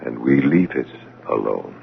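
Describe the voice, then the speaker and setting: Muffled, narrowband radio sound frames a knowing otherworldly guide. His voice is extremely deep and gravelly, with solemn, measured, portentous phrasing. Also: animated, a little hiss or hum, full character voice. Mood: solemn, probing. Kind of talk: old-time radio drama acting. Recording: band-limited radio drama recording.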